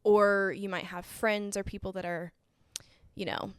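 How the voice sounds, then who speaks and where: A woman's voice: mid-range voice, level tone, measured pace, smooth, dry recording, clean studio sound. Chatty young woman podcast co-host. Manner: chatty, lots of "like" fillers. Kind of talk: casual chat.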